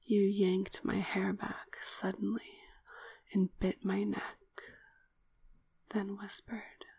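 A woman narrating a scripted, sensual first-person story in a hushed, breathy whisper, speaking in short deliberate phrases. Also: medium pitch, completely flat delivery. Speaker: narrator of erotic audio story.

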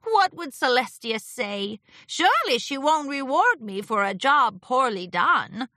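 A woman's voice: sits high, big pitch swings, unhurried, pushed and bright, dry recording, clean studio sound, pitched above the performer's own voice, heavily acted. A woman voicing a theatrical, wounded male chaos creature who speaks in a haughty, florid way. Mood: sulky, wounded. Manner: haughty, melodramatic, florid wording.

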